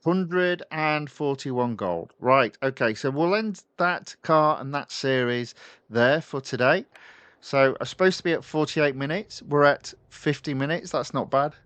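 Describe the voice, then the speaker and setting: A man giving relaxed live commentary on a racing game and chatting with viewers. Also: on the high side, a little slow, smooth, dry recording. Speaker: gaming livestreamer. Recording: voice with constant game engine noise. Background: racing car engine sounds from the game.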